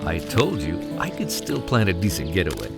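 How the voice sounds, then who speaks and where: A man playing a weary husband and father, narrating a horror audio drama in the first person with dramatic pauses. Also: low-pitched, wildly swooping pitch, creaky, over loud music, exaggerated voice. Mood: wistful, relieved. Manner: slow, dramatic storytelling with heavy pauses. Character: weary husband and father recounting a terrifying cabin getaway. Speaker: narrator and actor.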